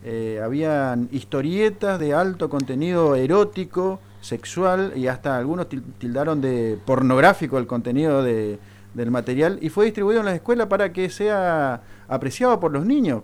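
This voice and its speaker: A man's voice: a little high, lively intonation, moderate pace. A man with an Argentine accent hosting a radio show, teasing his co-host in casual conversation. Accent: Argentine Spanish. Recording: FM radio broadcast studio.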